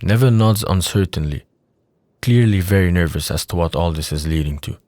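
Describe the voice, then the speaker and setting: Male narrator, low pitch, scripted delivery, audio drama storytelling. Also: a little fast, a little mellow, dry recording.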